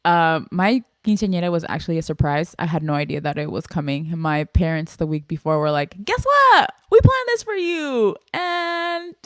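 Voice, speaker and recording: A young woman co-hosting a relaxed podcast, steering the talk with animated, chatty energy. Her voice is smooth and on the lower side, recorded close and dry. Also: normal speed, hardly any pauses, dry recording. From Panamanian family. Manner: animated, leads the discussion, switches into Spanish for quotes. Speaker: podcast co-host. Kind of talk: casual podcast chat.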